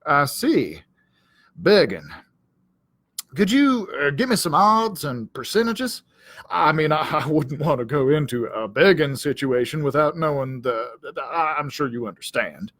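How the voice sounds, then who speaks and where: A man's voice: fairly high pitch, big pitch swings, measured pace, a bit bright, dry recording, exaggerated voice. A man voicing a vain, gullible storybook cowdog in a blustering, stammering, self-important manner. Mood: flustered, hesitant. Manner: blustering, hesitant, stammering, pompous. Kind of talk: children's storybook character voice.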